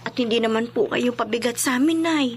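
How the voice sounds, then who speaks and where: A woman's voice: on the high side, brisk, slight background noise, natural voice. A woman playing a nervous young wife in a radio drama, respectful and hesitant. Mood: explaining.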